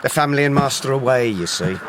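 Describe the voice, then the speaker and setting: Brief: actor as a deferential household man, even pitch, crows in the background. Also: quick pace, noisy background, natural voice. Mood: apologetic. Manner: humble, apologetic. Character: deferential household servant of a manor, middle-aged man.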